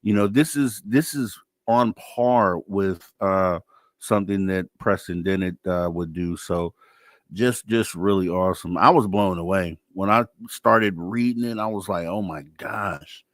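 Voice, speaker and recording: A man's voice: fairly low pitch, measured pace, dry recording, studio quality. A man hosting a live radio talk show in a casual, informal, effusive way.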